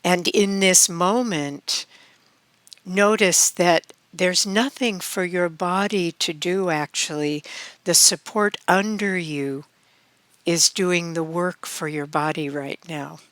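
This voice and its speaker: Very slow, smooth-voiced woman guiding meditation. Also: on the low side, no room sound. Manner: calm, measured, with long pauses between phrases. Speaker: meditation guide. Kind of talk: guided meditation.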